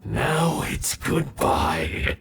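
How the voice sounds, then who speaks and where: A man's voice: medium pitch, very animated, creaky, echoey room, distant mic, background noise, heavily acted. A man playing a smug, theatrical video game villain who taunts and draws out his words and panics easily. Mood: gloating menace. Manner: taunting, theatrical, drawn-out delivery.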